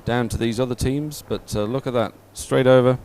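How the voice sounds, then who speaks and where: A man's voice: mid-range voice, fairly even intonation, normal speed, a little mellow, a little hiss or hum. A man hosting a live sports stream in an informal, somewhat hesitant way. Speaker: livestream host.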